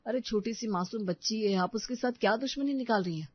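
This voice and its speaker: A woman's voice: on the high side, a little fast, slightly rough, dry recording, muffled recording, pitched above the performer's own voice. A woman playing an earnest, protective young husband and stepfather in a story, speaking firmly. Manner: firm, earnest.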